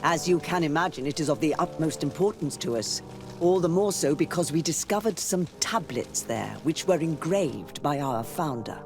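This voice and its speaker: An actress playing a pious, persuasive high church leader in a video game, formal and solemn. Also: female voice, expressive, moderate pace, music in the background. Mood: solemn, explanatory. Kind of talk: scripted video game dialogue.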